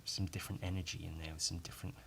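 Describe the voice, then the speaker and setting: A man with a somewhat low, croaky voice talks solo in a loose, rambling podcast style. He is informal and swears freely, often undercutting himself or ending a thought with a little tag question. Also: level tone, rapid-fire, a bit bright, slight background noise. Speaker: podcast host and illustrator. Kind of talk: casual rambling solo chat.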